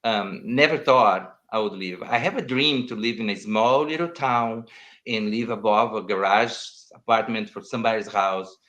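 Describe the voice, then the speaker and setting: A man's voice: lowish voice, fairly bright tone. An older man from Brazil with a Brazilian Portuguese accent, chatting as an interview guest, rambling and restarting his sentences. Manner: rambling, restarts sentences, non-native phrasing. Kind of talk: casual conversation.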